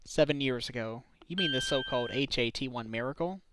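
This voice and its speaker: A man playing an earnest, determined young defense lawyer in a video game fandub, probing with deliberate, dramatic delivery. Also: mid-range voice, very noisy, lightly acted. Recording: amateur recording with music.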